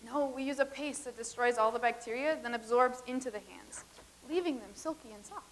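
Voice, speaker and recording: A woman acting in a staged comedy reading as a calm, formal, peace-keeping traveler from the far future. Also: a little high, a little fast, slight background noise, lightly acted. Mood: matter-of-fact.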